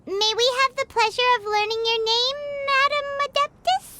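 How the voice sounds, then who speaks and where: A woman voicing a tiny, excitable female fairy guide in a game who refers to herself by name and talks in a bouncy, eager way. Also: very high voice, normal speed, very noisy. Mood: friendly eager. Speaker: companion character in a game. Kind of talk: game voice acting. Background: game music.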